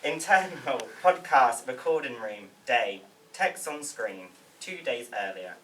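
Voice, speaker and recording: A man's voice: medium pitch, expressive, slow pace, pushed and bright. Man reading stage directions from across the room. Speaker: stage directions reader at a table read. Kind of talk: read-aloud script narration. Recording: distant mic, faint room noise.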